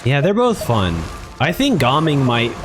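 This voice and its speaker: A man thinks aloud as he plays a game, in a casual, halting way. His pace is very unhurried and he repeats words while deciding. Clear game music plays behind him. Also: mid-range voice, huge pitch swings. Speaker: streamer.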